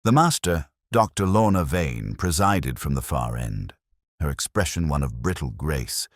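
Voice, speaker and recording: A man reading scripted first-person narration of a detective mystery in a measured, brooding, literary manner. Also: a bass voice, animated, no echo, clean studio sound. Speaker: audio drama narrator.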